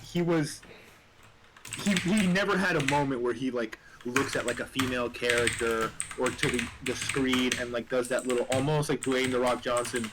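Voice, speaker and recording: A man in casual, opinionated conversation, rambling in an animated way with frequent filler words and some swearing. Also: mid-range voice, normal speed. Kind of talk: casual chat, opinionated film talk. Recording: noisy room with keyboard clatter.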